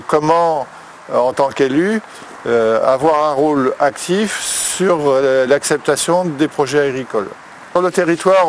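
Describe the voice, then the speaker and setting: Hesitant Frenchman over outdoor background noise. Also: mid-range voice. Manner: hesitant, searching for words, halting phrasing. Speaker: local elected official, vice-president of a rural district council. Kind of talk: spoken interview testimony.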